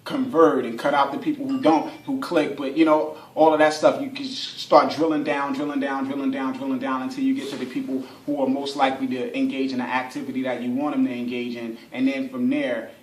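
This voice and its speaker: A man's voice: mid-range voice, flat delivery, a little fast, hardly any pauses, slight background noise. A man giving an informal workshop explanation as an instructor, conversational, repeating phrases for emphasis and acting out hypothetical examples. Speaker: workshop instructor, advertising specialist. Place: indoor room at a radio station. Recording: roomy, echoey workshop recording.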